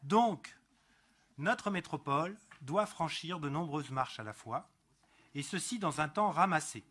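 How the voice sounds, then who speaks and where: A man speaking as an elected councillor, giving a prepared political speech in a deliberate, didactic manner with emphatic repetition. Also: fairly high pitch, animated, fairly bright tone. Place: council meeting.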